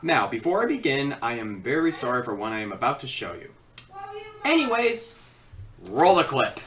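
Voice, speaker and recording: A woman's voice: rumbling low, sing-song, normal speed, fairly bright tone, recorded from a distance, a little hiss or hum. A woman narrating an informal comedic review as a video reviewer, with joking, mock-dramatic asides.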